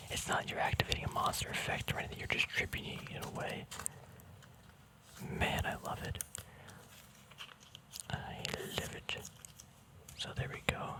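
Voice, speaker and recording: A man whispers throughout in a breathy, voiceless hush. He talks casually in an ASMR style, murmuring his commentary as if thinking aloud, with a hesitant, unscripted flow. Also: low-quality recording. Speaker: ASMR video creator. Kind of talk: ASMR, casual rambling commentary on a card deck.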